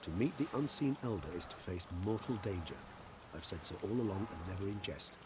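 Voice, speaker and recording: Refined, loyal, scholarly vampire, British, deep and courteous, game cutscene with clear ambient noise. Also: male voice, lively intonation, a little fast. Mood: earnest. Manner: erudite, courteous, wordy. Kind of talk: video game acting.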